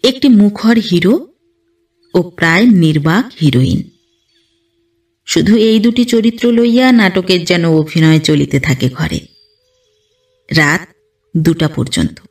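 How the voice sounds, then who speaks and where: A woman reading a literary story aloud in an expressive, dramatic, emotive manner. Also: lowish voice, takes it slowly, long pauses, distant mic. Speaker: audio story narrator.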